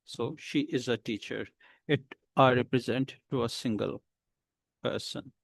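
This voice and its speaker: A male teacher talks slowly and patiently to beginners, pausing often between short phrases. His voice is gravelly with a lot of fry, and the recording sounds dry and close. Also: medium pitch, fairly even intonation, dry recording. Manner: slow, patient explaining with many pauses. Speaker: English teacher. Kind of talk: beginner English lesson.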